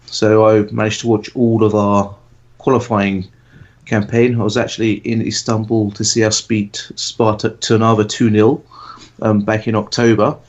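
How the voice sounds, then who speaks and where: A man in his late thirties from England talks low and flat as he co-hosts a casual podcast. He rambles and hesitates with many ums, and his voice comes through on dull, lo-fi audio. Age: 30s. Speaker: podcast co-host.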